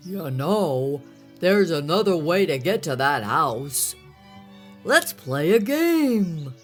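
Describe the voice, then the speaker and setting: A woman voicing a hungry, sly male wolf who talks loudly and draws out his words. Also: deep voice, very animated, soft background music, full character voice. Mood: sly menacing. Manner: loud, drawn-out, menacing.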